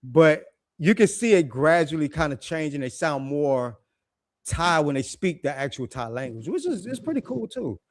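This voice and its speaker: A middle-aged man talks in a loose, laid-back way, full of slang and repeated phrases. His voice is raspy, and he pitches it somewhat high. It sounds like an easy, unhurried conversation. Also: sing-song. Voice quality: deep raspy voice. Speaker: guest living abroad. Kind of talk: casual chat.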